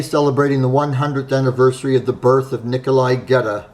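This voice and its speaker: Male host, mid-pitched, steady scripted narration with measured admiration.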